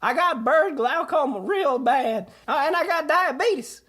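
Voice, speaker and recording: Very shrill, foul-mouthed junkie bird. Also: female voice, expressive, a little slow, a bit bright. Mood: rambling, shameless. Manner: rambling, crude, shameless, slangy. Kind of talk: comedy audio drama.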